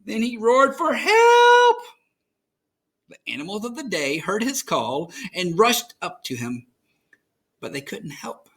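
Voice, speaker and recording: An American man narrates a children's storybook with dramatic expression. His voice is bright and forceful, and his pitch moves widely as he tells the tale. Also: sing-song, slow pace, long pauses. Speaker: children's story reader, host.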